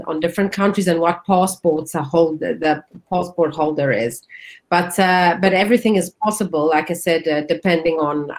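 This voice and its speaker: A woman representing a school, presenting on a webinar over a video call, often hesitating with fillers and restarts. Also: sits low.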